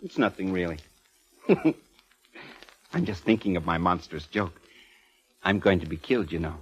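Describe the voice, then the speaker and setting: An actor playing an eccentric, gleefully morbid ageing composer in a 1940s radio drama, with a flamboyant, florid manner. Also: male voice, low-pitched, moderate pace, slightly rough. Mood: amused, gleeful. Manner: flamboyant, effusive, chuckling, florid. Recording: muffled vintage 1940s radio recording.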